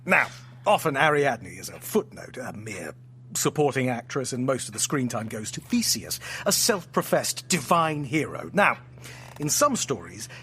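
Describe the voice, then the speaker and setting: A man's voice: medium pitch, very animated, moderate pace, bright, forceful tone, slight background noise. An actor playing an erudite, teasing man with formal, playfully theatrical speech in an audio drama. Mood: grand storytelling. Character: erudite, teasing man, fond host and storyteller. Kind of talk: naturalistic audio drama dialogue.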